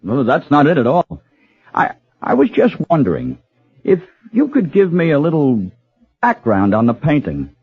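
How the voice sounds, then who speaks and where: An actor playing a wistful, hesitant husband obsessed with a painting, in a radio drama. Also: male voice, mid-range voice, huge pitch swings, moderate pace, husky, no room sound, heavily acted. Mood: hesitant, eager. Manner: hesitant, stammering. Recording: dull lo-fi radio recording, music behind.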